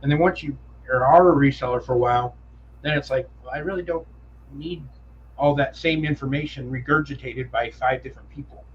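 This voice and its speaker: A man's voice: medium pitch, a little fast, lo-fi sound, a little hiss or hum. A man with a nasal voice, co-hosting a livestream in casual, easygoing chat. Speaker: co-host.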